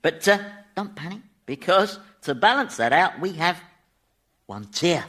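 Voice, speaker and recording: A gleeful, taunting Devil for radio comedy. The voice is fairly high and very animated, with a bright, forceful edge. He talks in a chatty, mock-polite way, dripping with mockery. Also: unhurried, heavily acted. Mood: smug mockery. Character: gleeful, sardonic male Devil, taunting tour guide of Hell. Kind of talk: radio sitcom acting.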